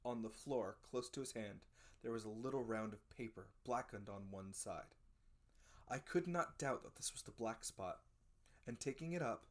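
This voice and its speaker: Expressive, theatrical storybook narrator. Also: mid-range voice. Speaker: audiobook narrator. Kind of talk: dramatic scripted narration of a classic adventure novel.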